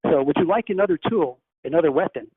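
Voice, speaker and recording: A man speaking as a channeled spirit teacher, guiding a breathing meditation in a slow, deliberate, instructive way. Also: on the high side, expressive, natural voice. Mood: teaching. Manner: slow, deliberate, instructive, softly hushed in exercises. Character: ascended master spirit, calm, commanding teacher. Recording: tinny phone line, faint hiss.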